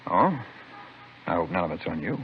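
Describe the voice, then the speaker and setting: A man's voice: deep voice, big pitch swings, moderate pace. An actor playing a wary, polite male narcotics dealer in a vintage radio crime drama. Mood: wary, placating. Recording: noisy, dull vintage radio recording.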